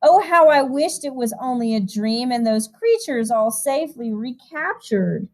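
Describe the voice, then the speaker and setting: A woman with a high-pitched voice reads a picture book to young children. She sounds like a music teacher, animated and expressive in her storytelling. She slips between the verse and chatty asides to her young listeners. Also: unhurried.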